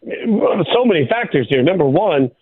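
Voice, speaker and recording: A man's voice: high voice, sing-song, measured pace, husky, no room sound. A man speaking as a sports journalist guest, arguing his case with rhetorical questions over a thin phone-like radio line. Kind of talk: sports debate by call-in.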